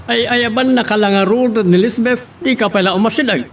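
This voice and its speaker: A man's voice: very high-pitched, brisk, a bit bright, a little hiss or hum. A man playing a genial, indulgent middle-aged father in an Ilocano radio drama. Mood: indulgent, firm.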